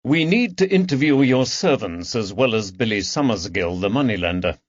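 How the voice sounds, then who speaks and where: A man voicing a composed, methodical police detective with a measured, authoritative manner in an audiobook. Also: on the low side, animated, no echo, lo-fi sound. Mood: businesslike. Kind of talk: audiobook character dialogue.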